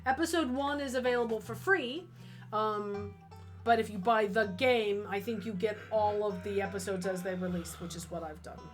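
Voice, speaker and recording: A woman's voice: medium pitch, moderate pace, over music. Woman chatting on a game livestream. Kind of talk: casual, slangy livestream chat.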